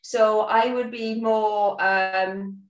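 A woman's voice: mid-range voice, steady tone, very slow, recorded from a distance. A woman co-hosting a podcast, chatting casually with giggles and fillers. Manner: giggly, rambling with lots of fillers. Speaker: podcast co-host. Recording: echoey, a bit off-mic.

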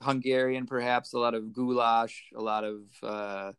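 A man's voice: mid-range voice, flat delivery, moderate pace, a bit bright, clear, resonant tone. A man co-hosting a podcast, chatting casually and conversationally in a comic book review style. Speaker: podcast co-host.

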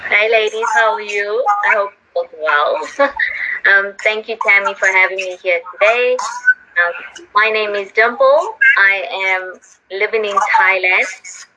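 A woman from Zimbabwe, a former teacher, chatting on a live stream in a relaxed way with many fillers. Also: mid-range voice, unhurried. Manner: relaxed, with many ums and likes. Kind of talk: casual chat on a live stream. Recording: noisy line.

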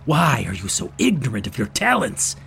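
An actor playing Van Helsing, a bitter, taunting vampire hunter, in a theatrical radio drama style. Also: male voice, mid-range voice, wildly swooping pitch, normal speed, vocal fry, a bit bright, a little hiss or hum, exaggerated voice. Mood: goading.

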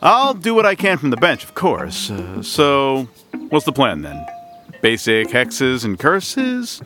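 A man playing a smooth, theatrical witch hunter in an audio drama, by turns chummy and pompous. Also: mid-range voice, wildly swooping pitch, takes it slowly, sharp tone, soft background music, heavily acted. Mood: chummy, gossipy.

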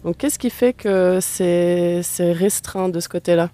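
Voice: medium pitch, measured pace, warm tone. Chatty radio host. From Switzerland. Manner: chatty, asks long winding questions. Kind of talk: radio interview.

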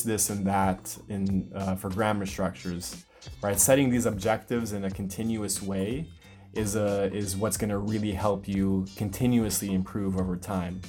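A man from the United States hosting a casual language-learning podcast, explaining things patiently with clear examples. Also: fairly low pitch, darkish tone. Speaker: podcast host. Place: lives in Brazil.

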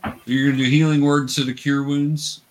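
A man's voice: medium pitch, little pitch movement, a little fast. A man acting as game master, conversationally narrating a tabletop game and patiently explaining things step by step to young players.

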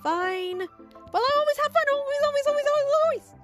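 A woman voicing a hyper, excitable middle-school girl in a story, whiny and gushing in repeated phrases. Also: extremely high pitch, slow, over loud music, voice pushed up, heavily acted. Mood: gleefully defiant.

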